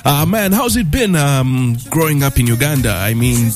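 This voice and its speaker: A man hosting a relaxed interview in a medium voice. He laughs often and fills the gaps with quick "mm" and "yes" sounds. Faint music plays underneath. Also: mid-range voice. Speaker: interviewer host. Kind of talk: casual interview chat.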